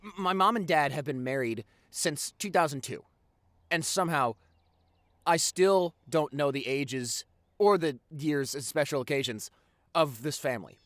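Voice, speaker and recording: A man playing a chatty, protective teenage boyfriend in an audio roleplay, rambling and halting as he reminisces, repeating words for emphasis. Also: fairly high pitch, fairly bright tone, no room sound. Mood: flustered rambling.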